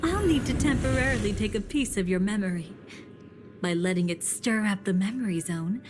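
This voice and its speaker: A woman's voice: medium pitch, natural voice. Actress as a poised, knowing woman over music. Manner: composed, articulate, coolly confident. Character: poised, knowing, slightly teasing mysterious woman. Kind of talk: scripted game voice acting.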